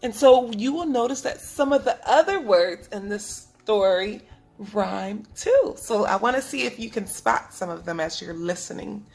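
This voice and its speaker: A woman teaching preschoolers, reading a picture book aloud in an instructive way, speaking clearly to children and asking them questions. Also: medium pitch, expressive, moderate pace, darkish tone, faint music behind, poor audio. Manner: instructive, asks the listeners questions, enunciates for children. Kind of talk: read-aloud lesson for young children.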